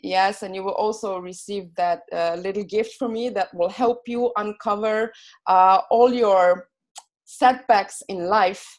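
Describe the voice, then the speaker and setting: A woman with a Slovene accent, speaking as a guest coach on a podcast, excited and full of fillers and restarts. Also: medium pitch, unhurried. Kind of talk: enthusiastic podcast interview.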